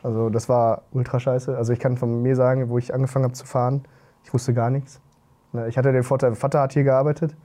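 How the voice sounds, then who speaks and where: A man's voice: medium pitch, flat delivery, fast talker, warm tone. A young man hosting a casual podcast chat, speaking in a blunt, colloquial way. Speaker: podcast host.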